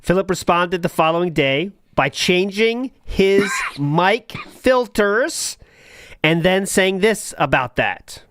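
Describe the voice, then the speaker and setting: A man's voice: sits high, animated, takes it slowly, a bit bright, smooth, dry recording, studio quality. A man hosting a casual comedy podcast, commenting mockingly on clips and swearing freely. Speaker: podcast host.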